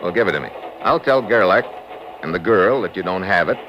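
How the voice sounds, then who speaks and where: A hard-boiled American agent on a noisy old radio show. His voice is low and swings widely in pitch, with a clipped, sardonic edge. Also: expressive, fairly quick, muffled recording. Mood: hard, needling. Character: cool, wry, tough male American special agent. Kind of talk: 1950s radio drama acting. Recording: noisy vintage radio drama recording.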